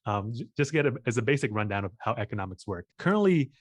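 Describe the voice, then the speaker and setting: A man's voice: medium pitch, very animated, fast talker, no room sound. A man hosting a personal finance interview, asking questions in a casual way with many fillers. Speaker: host.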